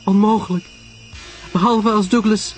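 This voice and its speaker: A man's voice: high-pitched, steady tone, measured pace, soft background music, voice pushed up. A man voicing a distraught, tearful small woman in a story, stammering and pleading breathlessly. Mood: frightened, desperate.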